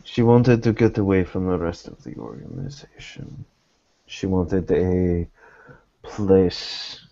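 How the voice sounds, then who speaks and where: A man speaks in a low, dark voice with long deliberate pacing, choosing formal words as he role-plays a character. The audio is slightly dull and lo-fi. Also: vocal fry. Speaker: role-playing gamer voicing a private investigator. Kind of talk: slow in-character improvised dialogue.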